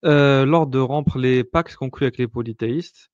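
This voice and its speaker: A man's voice: medium pitch, normal speed, a little mellow, no echo. A man explaining religious rules in a casual discussion, hesitant, with many fillers and restarts. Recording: dull lo-fi sound, dry.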